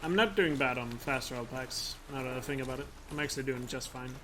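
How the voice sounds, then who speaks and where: A man's voice: mid-range voice, quick pace, noisy background. A man with a Danish accent giving casual live-stream commentary as a speedrunner; chatty, he laughs often and swears at his mistakes. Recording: stream mic with game sounds behind.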